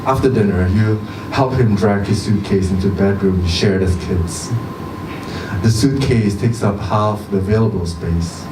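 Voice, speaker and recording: A man giving a measured, deliberate live reading of a short story, with pauses between phrases. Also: on the low side. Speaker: reader at a literary event. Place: indoor social venue, live event. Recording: distant mic, echoey venue, background hum.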